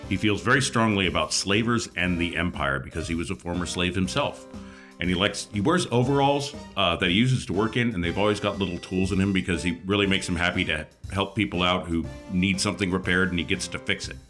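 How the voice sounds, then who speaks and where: A man with a deep, low voice talks casually as a player in a tabletop role-playing game. He describes his character and its actions while music plays faintly in the background. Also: fairly quick, a bit bright.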